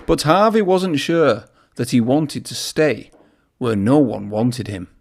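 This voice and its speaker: A man's voice: medium pitch, lively intonation, takes it slowly, gravelly. A man reading a children's story aloud in a narrating style. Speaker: storybook reader.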